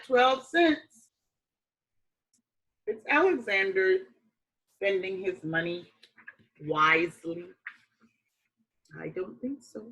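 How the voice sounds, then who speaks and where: A woman reading a children's picture book aloud like a teacher, with expressive, emphatic phrasing and deliberate dramatic pauses. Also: mid-range voice, poor audio.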